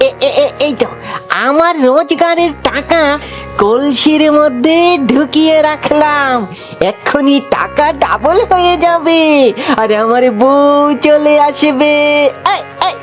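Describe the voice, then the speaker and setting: A woman voicing a naive, lazy male fox in a cartoon, chattering excitably and repeating words in a whiny, eager way. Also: high voice, measured pace, soft background music. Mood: gleeful. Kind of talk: Bangla cartoon voice acting.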